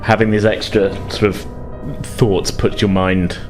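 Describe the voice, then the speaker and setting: A man's voice: on the low side, level tone, slow pace, over music. A man running a tabletop game as game master, casual, conversational and a little hesitant as he narrates.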